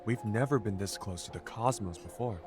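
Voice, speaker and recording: An actor playing a prying bartender who trades in information, conversational and probing, in a video game. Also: male voice, on the low side, fairly quick, natural voice. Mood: casual needling. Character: nosy, needling male bartender who deals in information. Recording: music behind the voice.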